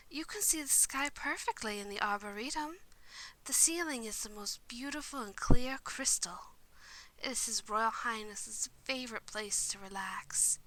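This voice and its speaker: A woman playing an excitable, devoted vampire lady's maid in a roleplay audio drama, speaking formally and chattily to the listener. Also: fairly high pitch, heavily acted, rough recording. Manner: polite, formal, eager to please, chatty.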